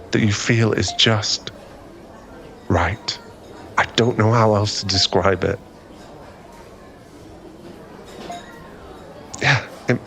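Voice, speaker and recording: Male actor as bashful young suitor for ASMR boyfriend roleplay: low register, earnest, stumbling delivery, noticeable background ambience. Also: creaky, a bit bright, slight background noise, full character voice. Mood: earnest, smitten. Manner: hesitant, stammering, earnest, bashful.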